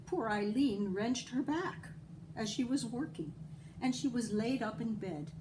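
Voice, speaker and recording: A woman's voice: on the high side, animated, a little slow, background noise. A woman reading a children's folktale aloud as a storyteller, with dramatic emphasis and little asides to listeners. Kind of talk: expressive read-aloud narration of a children's folktale.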